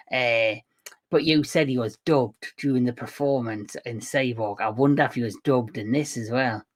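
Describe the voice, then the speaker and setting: A man hosting a relaxed retro movie show over a call. He rambles through plot points with plenty of uhs and restarts, in a mid-range voice. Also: medium pitch. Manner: rambling, hesitant with many fillers. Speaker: podcast host. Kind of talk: casual movie chat.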